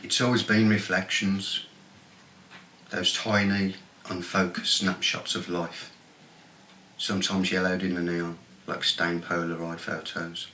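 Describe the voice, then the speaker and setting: A middle-aged man, a poet, reflecting on his life and reading his poems in a candid, plain-spoken, halting way. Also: level tone, sharp tone. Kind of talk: frank personal reflection and poetry reading. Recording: noisy ambience, rumbling background noise.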